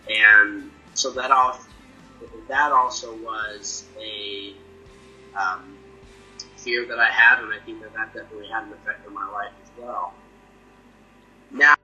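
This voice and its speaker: A young man who stutters, with repeated sounds and restarts, telling his own experience in an earnest, measured way. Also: lowish voice, steady tone, pushed and bright, faint music behind, poor audio. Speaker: person sharing his experience. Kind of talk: personal testimony to camera.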